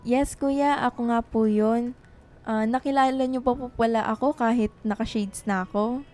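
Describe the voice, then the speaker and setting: Weary, lovelorn girlfriend for radio drama, played by a woman, high voice over light music. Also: steady tone, moderate pace, darkish tone, lightly acted. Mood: grateful. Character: weary, heartbroken girlfriend who writes love stories. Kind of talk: radio drama acting in Tagalog.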